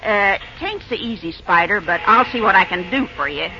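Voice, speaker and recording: A performer playing a gruff but kindly woman who runs a railroad restaurant, speaking in a folksy country way with hesitant pauses, in an old-time radio drama. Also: mid-range voice, animated, sharp tone. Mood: businesslike. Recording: noisy, dull early radio recording.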